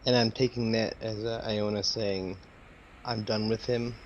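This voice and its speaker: A man's voice: on the low side, flat delivery, measured pace, highs cut off, slight background noise. A man playing a tabletop game, talking casually and checking in with the table. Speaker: player.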